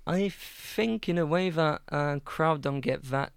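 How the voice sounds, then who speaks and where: A man's voice: fairly high pitch, unhurried, vocal fry, smooth, no room sound, studio quality. Hesitant male podcast host. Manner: hesitant, many ums and restarts. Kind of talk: casual chat.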